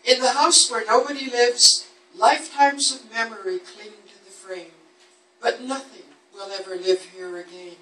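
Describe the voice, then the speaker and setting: Female poetry reader, unhurried dramatic storytelling, roomy distant live recording with faint noise. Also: a little high, a bit bright. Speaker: poet reading at a book launch. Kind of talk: recited rhyming poetry reading. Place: indoor book launch event. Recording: echoey room, distant mic, faint noise.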